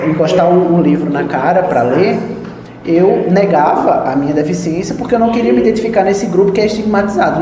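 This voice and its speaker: Reflective young man in echoey hall. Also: sits high. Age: 20s. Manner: reflective, halting restarts. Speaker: computer instructor at an institute for the blind. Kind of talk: interview testimony.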